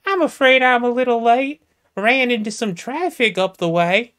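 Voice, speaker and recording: A woman's voice: on the high side, expressive, moderate pace, a bit bright, smooth, pitched above the performer's own voice. Woman doing a coy genteel young lady. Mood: genteel sweet. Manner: old-fashioned genteel, hesitant and coy. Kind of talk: comic storytelling.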